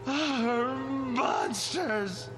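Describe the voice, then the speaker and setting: An actor playing a devoted, lonely museum caretaker, meek but fervent, in a vintage television drama with emotional, deferential delivery. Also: male voice, very high-pitched, drawn-out words, over loud music, exaggerated voice. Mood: anguished, accusing.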